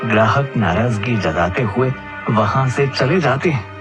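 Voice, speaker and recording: A man's voice: medium pitch, expressive, measured pace. A man narrating a scripted cartoon moral story. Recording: faint background music under the voice.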